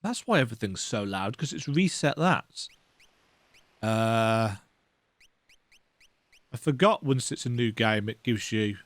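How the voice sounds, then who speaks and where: A man's voice: fairly low pitch, expressive, slow, pauses often, dry recording. A man hosting a let's play, giving casual, rambling, conversational commentary on a video game.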